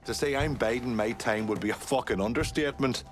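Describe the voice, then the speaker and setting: A man's voice: mid-range voice, brisk, faint music behind, lightly acted. A man acting an impatient, foul-mouthed mobster in a video game, blunt and cocky. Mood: impatient, irritated.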